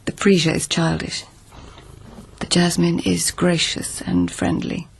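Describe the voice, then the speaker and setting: Low-voiced, guarded court lady. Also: big pitch swings, a little slow, creaky, breathy edge, exaggerated voice. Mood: terse, guarded. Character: proud, guarded court lady of old Japan. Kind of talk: radio drama acting.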